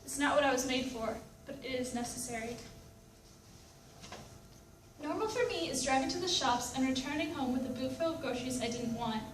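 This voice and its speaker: A woman performs a troubled, reflective woman who lives with many personalities. Her voice is high and theatrical, heard in an echoey room over faint noise. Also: lively intonation, a little fast. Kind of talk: readers theatre monologue. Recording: echoey room, distant mic, background noise.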